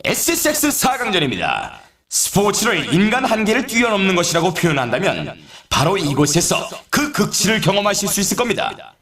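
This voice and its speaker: An excitable male sports announcer for a snowboarding video game. His pitch leaps around with great animation as he draws out names with showy drama. The voice rings with a roomy echo. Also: fairly quick, crowd noise, full character voice. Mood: excited, dramatic. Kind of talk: scripted sports commentary, event introductions.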